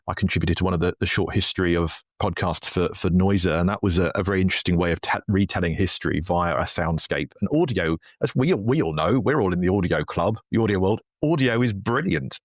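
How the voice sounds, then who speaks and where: Deep-voiced man, dry close sound. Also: fast talker, few pauses, no echo. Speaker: podcast guest, audio drama writer. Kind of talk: conversational interview.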